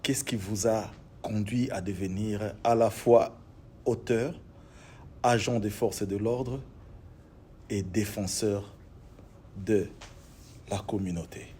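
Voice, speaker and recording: A man's voice: lowish voice, slow, long pauses, mellow, a little hiss or hum. A man hosting an interview, speaking formally with repeated, emphatic phrasing. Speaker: show host. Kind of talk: interview host.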